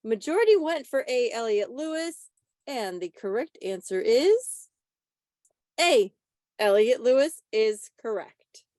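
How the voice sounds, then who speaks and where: A woman's voice: on the high side, very animated, slow, darkish tone, no room sound, clean studio sound. A woman hosting a quiz on a video call, reading out questions and answers. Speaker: quiz presenter.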